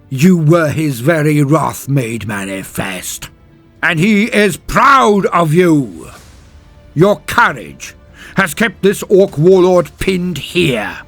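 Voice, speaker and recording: A man voicing a valiant space marine champion in a sci-fi audiobook, giving a solemn, rousing battle speech in a grand, drawn-out, declamatory way. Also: high voice, fairly bright tone, pitched above the performer's own voice, heavily acted. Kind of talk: dramatic audiobook character speech.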